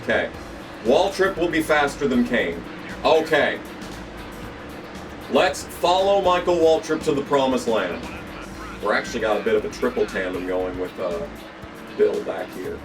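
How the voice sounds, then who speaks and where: A young man, hoarse from losing his voice, commentating his racing game run with frequent swearing and excited outbursts. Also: mid-range voice, measured pace, long pauses, far from the mic, music in the background. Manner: excitable, swears often, jumps into yelps when the race turns. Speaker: gaming channel host. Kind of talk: gameplay commentary.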